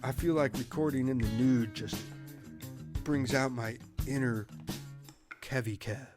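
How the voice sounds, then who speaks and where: A man's voice: mid-range voice, a little slow, close to an everyday voice. A man playing a laid-back, complaining dude in a comic audio drama. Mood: casual complaint. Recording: music behind the voice.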